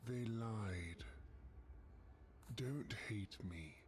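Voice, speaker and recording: An actor playing a wounded, solemn monster in a video game, weary and breathy, speaking haltingly. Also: male voice, fairly low pitch, a little mellow, a little hiss or hum. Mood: dying, resigned.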